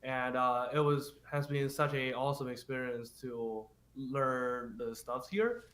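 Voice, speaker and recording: A man's voice: medium pitch, a little slow, a bit bright. A young man from China, a game design graduate, introducing himself in plain, simple phrasing. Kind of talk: casual interview. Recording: echoey room.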